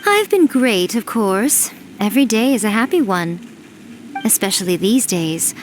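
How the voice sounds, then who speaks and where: A woman's voice: medium pitch, lively intonation, measured pace, a little hiss or hum, lightly acted. An actress playing a sly, smug ancient female fox yokai in a game cutscene, teasing and amused.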